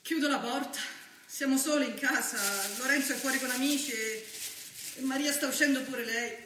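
Woman acting high-voiced southern Italian mother, echoey. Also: natural voice. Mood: troubled. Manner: halting, repetitive, excitable storytelling. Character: fretful, warm-hearted working-class Italian mother telling her story. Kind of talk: theatrical monologue in colloquial dialect-tinged Italian. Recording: echoey, noisy stage from far off.

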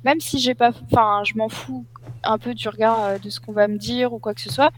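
A woman's voice: a little high, fairly even intonation, brisk. A young woman talking casually on a podcast, hesitant, trailing off and filling gaps with fillers. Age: young adult. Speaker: podcast co-host. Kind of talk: casual roundtable chat.

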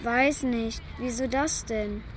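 A woman's voice: fairly high pitch, slow, a little hiss or hum. A female performer playing a hesitant young child in a children's audio drama. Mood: reluctant. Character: reluctant young child, protective of a pet.

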